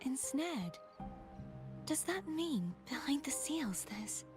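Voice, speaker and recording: A woman voicing a composed, enigmatic young woman in a video game, speaking formally and in measured phrases. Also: high-pitched, big pitch swings, heavily acted. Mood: questioning. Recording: music playing over the voice.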